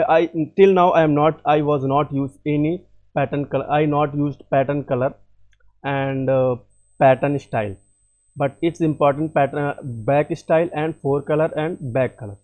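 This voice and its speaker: A man talks through a screen-recorded software tutorial. His voice is fairly high yet mellow, and he speaks slowly, stopping to repeat and correct himself. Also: steady tone. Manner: halting, repeats and self-corrects often.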